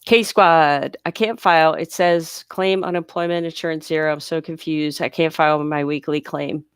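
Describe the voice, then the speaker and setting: A woman's voice: low-pitched, steady tone, moderate pace, smooth, no room sound, clean studio sound. A woman hosting a casual live Q&A, reading viewer questions aloud and explaining them patiently, her voice congested from blocked sinuses. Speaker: livestream host answering viewer questions. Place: home near a large US city.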